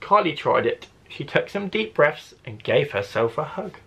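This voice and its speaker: Slightly husky man reading a children's story. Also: fairly high pitch, sing-song, moderate pace, a bit bright. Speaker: primary school teacher, storyteller.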